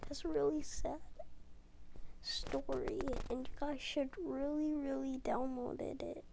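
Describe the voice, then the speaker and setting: Young girl whispering into a tablet at night so nobody wakes, slow and a bit gravelly. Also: high-pitched, little pitch movement, background noise. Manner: hushed, often whispering, with halting restarts. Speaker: video uploader. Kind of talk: casual talk over a screen recording. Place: home at night. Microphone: tablet mic.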